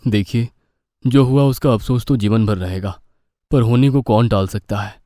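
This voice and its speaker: A man voicing a kind, sympathetic building owner in an animated moral story, with a gentle, solemn and polite way of talking. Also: mid-range voice, moderate pace, no echo, clean studio sound, lightly acted.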